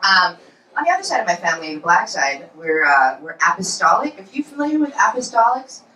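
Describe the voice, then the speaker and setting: A woman's voice: medium pitch, big pitch swings, fairly quick, bright, forceful tone, slight background noise. A woman in her forties doing a stand-up comedy set in a club, telling punchy self-deprecating stories. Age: 40s. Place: comedy club in New York. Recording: roomy club sound, from far off.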